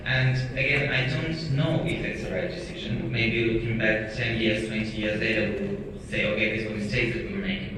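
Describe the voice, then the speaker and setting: A man from Ukraine, a magazine editor on a panel, speaking thoughtfully and often repeating words as he searches for them. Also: fairly low pitch. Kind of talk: panel discussion. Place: large hall, live panel. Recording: echoey hall, mic far off, noisy.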